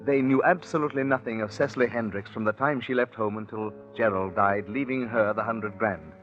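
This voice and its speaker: An actor playing a smooth-talking impostor and killer in a 1940s radio suspense drama, talking in a glib, confiding way. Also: male voice, on the low side, fairly quick, a bit bright, natural voice. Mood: confiding, calculating. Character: smooth-talking impostor and cold-blooded murderer narrating his crime. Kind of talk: 1940s radio suspense acting, confessional narration. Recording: dull 1940s radio recording with music.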